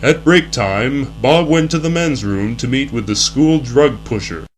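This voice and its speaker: A man's voice: medium pitch, unhurried, faint music behind. A young man reading scripted narration in the earnest, formal announcer style of a 1960s educational film. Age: young adult.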